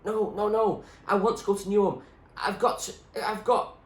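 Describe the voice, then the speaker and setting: A man's voice: sits high, steady tone, normal speed, voice pushed up, natural voice. A man voicing an anxious, stubborn young girl who pleads urgently as she searches for her parents, in a storybook reading. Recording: echoey room with clear background noise.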